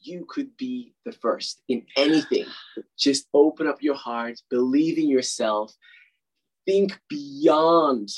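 Husky, slow-talking earnest man. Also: medium pitch, low-quality recording. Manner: earnest, encouraging.

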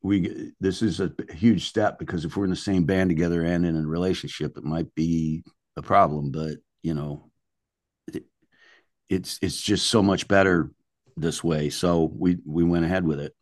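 Deep-voiced older man telling stories, dry sound. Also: fairly even intonation, normal speed, no room sound. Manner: blunt, colloquial, salty. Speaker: musician being interviewed. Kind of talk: casual anecdotal storytelling.

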